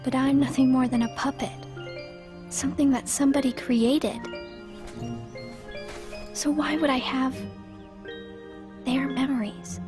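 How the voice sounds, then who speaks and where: Casting: gentle, troubled teen girl for a video game, high voice, slow hesitant delivery, music behind. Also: steady tone. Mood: troubled, questioning. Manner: earnest, hesitant. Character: troubled, gentle teenage girl who feels like a puppet. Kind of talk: video game cutscene acting.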